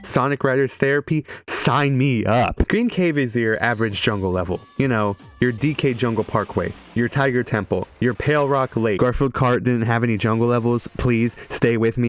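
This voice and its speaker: A young man giving joking, irreverent video game commentary in a sarcastic, sweary way. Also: mid-range voice.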